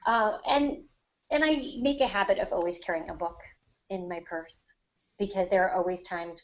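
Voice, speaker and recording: Female podcast co-host, middle-aged, conversational, lower register, muffled lo-fi sound. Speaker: podcast co-host, writing teacher. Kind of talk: casual podcast discussion. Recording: slightly dull, lo-fi online audio.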